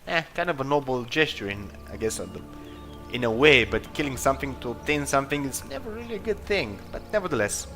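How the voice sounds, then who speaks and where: A man with a bright, forward voice talks casually through a video game. He rambles and hedges, feeling his way through each thought. Faint game music plays behind him. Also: medium pitch, very animated, fast. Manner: rambling, hesitant, hedging. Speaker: gameplay video host. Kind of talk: casual game commentary, reading dialogue aloud.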